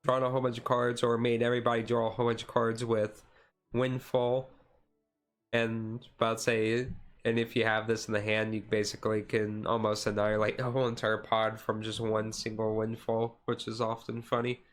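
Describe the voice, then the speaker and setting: A man streaming casual, chill card game commentary in a halting, rambling way with frequent asides. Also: medium pitch, completely flat delivery, faint music behind. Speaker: streamer host.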